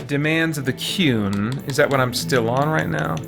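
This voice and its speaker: A man's voice: mid-range voice, moderate pace, gravelly, over loud music. A man hosting a video game playthrough, musing and thinking aloud in casual commentary as if talking to himself. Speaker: video game let's player, host.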